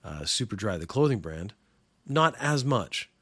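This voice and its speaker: A man's voice: lowish voice, very animated, unhurried, fairly bright tone, husky, no room sound, studio quality. A man hosting a small podcast alone, talking in a rambling, sarcastic, opinionated way. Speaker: podcast host. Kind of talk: casual solo podcast rant.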